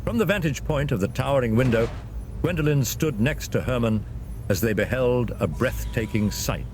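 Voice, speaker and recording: A man narrating a scripted fantasy story as a dramatic, expressive storyteller. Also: normal speed. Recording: loud ambient rumble behind narration.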